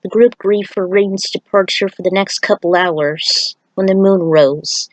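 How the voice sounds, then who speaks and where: A woman narrates her own fan-made story series at a middling pitch. The recording is dry and close, with very little room sound, and the delivery is that of a storyteller reading from a script. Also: a little slow, no room sound, low-quality recording. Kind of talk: scripted narration with casual outro remarks.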